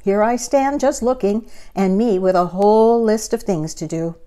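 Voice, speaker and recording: A woman's voice: mid-range voice, normal speed, mellow, lightly acted. A woman voicing a literal-minded, eager, good-hearted housekeeper from a picture book, folksy and exclaiming. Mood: pleased, eager.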